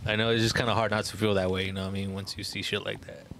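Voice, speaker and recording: A man's voice: lowish voice, level tone, very fast, vocal fry, a bit bright. A man co-hosting a podcast in a casual, slangy, profane group chat. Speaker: podcast co-host. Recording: noticeable background noise and room ambience.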